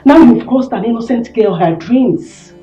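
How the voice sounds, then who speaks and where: A woman's voice: on the high side, expressive, a little slow, dark tone, dull audio. An actress with a Nigerian accent playing a stern, middle-aged royal mother who scolds her wayward son in a film melodrama. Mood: angry reproach. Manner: scolding, dramatic. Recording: echoey room, music behind.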